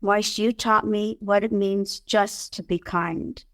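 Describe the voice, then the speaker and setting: Slow-spoken woman in a dry recording. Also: mid-range voice, steady tone, fairly bright tone, no echo. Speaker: speaker in a played tribute clip.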